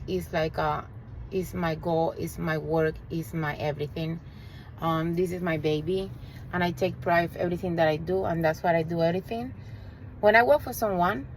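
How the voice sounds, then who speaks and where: A woman's voice: on the low side, slight background noise, poor audio. A Spanish-speaking woman with a Spanish accent, speaking English as a realtor in an earnest vlog, often correcting herself and talking straight to the viewer. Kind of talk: direct-to-camera vlog.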